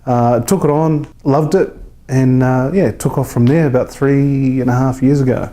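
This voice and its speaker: A New man in his thirties talks informally in an interview. His voice is dark and very relaxed, at an average male pitch. He is colloquial and a little hesitant, like an estate agent having a casual chat. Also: normal speed. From New Zealand. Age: 30s.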